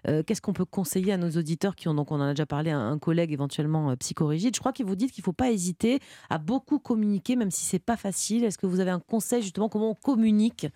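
A woman hosting a radio talk show, asking her guests questions in a lively way. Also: fairly low pitch, fast, hardly any pauses, no room sound. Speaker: radio host.

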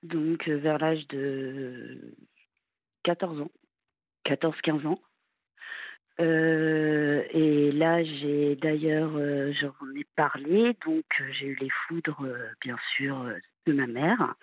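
A woman in her fifties from France calling a late-night radio show, halting and hesitant with many fillers, heard over a phone line. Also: low-pitched, dry recording. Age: 50s. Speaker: caller.

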